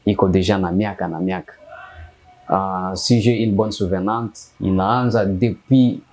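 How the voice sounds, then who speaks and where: A man from eastern Congo with a Congolese accent, answering interview questions in a measured way and repeating phrases for emphasis. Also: low voice, fairly even intonation, darkish tone. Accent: Congolese, mixing Swahili and French. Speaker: guest, activist.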